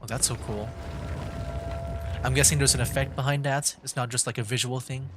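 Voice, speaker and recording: A man's voice: mid-range voice, steady tone, a little fast, background noise. A man giving casual livestream gameplay commentary, thinking aloud in an easygoing way as game music plays behind him. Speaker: game streamer.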